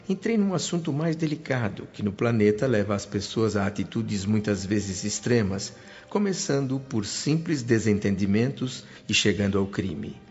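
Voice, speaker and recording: A man's voice: lowish voice, darkish tone. An awestruck, curious newcomer to the spirit world, telling his tale in a radio drama. His Brazilian speech is earnest and reverent, with literary phrasing. The audio is dull, with music playing underneath. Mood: earnest curiosity. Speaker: narrator and lead actor of a radio drama. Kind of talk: dramatised first-person radio narration.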